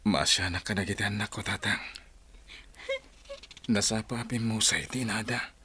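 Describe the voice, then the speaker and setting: An actor playing a grieving, widowed working father, plaintive and gentle with his children, in a radio drama. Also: on the low side, normal speed, a little hiss or hum. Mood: weary, tender. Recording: music bed behind the voice.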